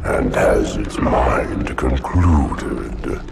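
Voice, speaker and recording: A man playing an ancient monstrous alien hive mind in a game, solemn and menacing, speaking in ponderous archaic riddles with a guttural rumble. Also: expressive, extremely slow, creaky, full character voice. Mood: ominous, commanding. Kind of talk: video game cinematic acting. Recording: loud cinematic music behind the voice.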